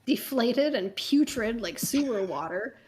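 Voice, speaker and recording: Female narrator, high-pitched, comic fan fiction reading with dry asides. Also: animated, measured pace, a little mellow, slight background noise. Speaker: narrator and panelist on a comedy reading show.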